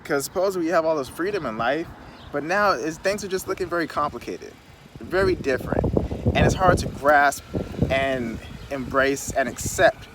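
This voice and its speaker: A man in his thirties sits on a city curb and rambles through a casual vlog, drifting into animated asides. His voice sits a little high for a man. Faint traffic and wind catch the mic. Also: normal speed. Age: 30s. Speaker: vlogger. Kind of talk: casual outdoor vlog monologue. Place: outdoors on a city street curb. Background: wind and passing traffic.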